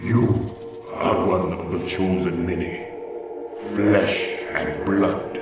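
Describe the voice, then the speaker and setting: An actor playing a solemn, ghostly guardian in a video game, with grave, portentous delivery. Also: male voice, low voice, fairly even intonation, slow. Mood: solemn, urgent. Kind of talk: dramatic video game acting. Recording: very echoey, distant, music behind.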